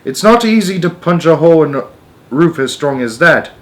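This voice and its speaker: A man voicing an eccentric, excitable factory owner in a storybook, breezy, theatrical and grandly polite. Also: a little high, normal speed, pitched above the performer's own voice. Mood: breezy confidence.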